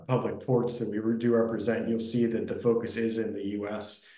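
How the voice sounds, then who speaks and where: A man's voice: fairly low pitch, roomy sound, low-quality recording. Man with a head cold presenting. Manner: earnest, businesslike, some restarts. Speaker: association vice president presenting. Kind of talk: video call presentation. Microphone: video call.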